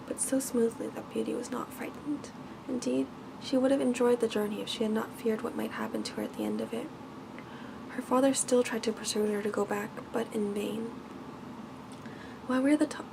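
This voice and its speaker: A woman reads a storybook aloud in a hushed, gentle, measured ASMR style, with small stumbles over the words. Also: a little high, vocal fry, background noise. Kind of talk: soft ASMR bedtime story reading.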